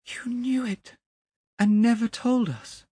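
A man voicing a horrified, shaken young gentleman in a dramatic storybook reading, with an overwrought manner. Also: very high voice, slow pace, slightly rough, no room sound, voice pushed up, full character voice. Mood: accusing. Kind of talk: dramatic storybook character acting.

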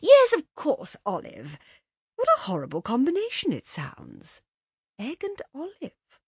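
A woman doing a flustered high-pitched society mother. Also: wildly swooping pitch, unhurried, a little mellow, dry recording, studio quality, full character voice. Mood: exasperated. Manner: breathless, fluttering commands. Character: fussy flustered upper-class mother.

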